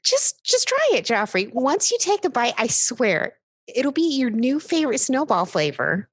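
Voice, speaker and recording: A woman's voice: high voice, very animated, unhurried, breathy edge, full character voice. A woman playing an earnest, exasperated food expert, a guest in a radio comedy sketch, speaking casually with hesitant stammers. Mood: coaxing.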